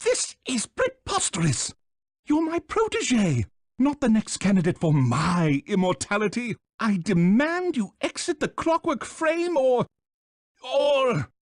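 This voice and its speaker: Scheming little bird villain, British accent, pompous, theatrical, wildly swooping pitch, dry studio sound, video game. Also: male voice, sing-song, slow, dry recording, exaggerated voice. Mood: indignant, alarmed. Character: pompous, scheming, flightless male bird villain, small and elderly.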